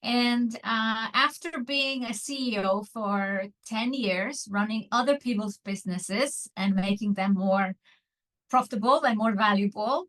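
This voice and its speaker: A woman, an online business mentor telling her story as a guest, earnest and pausing often with fillers. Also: on the high side, fairly bright tone, rough recording. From Iceland. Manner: earnest, frequent filler pauses.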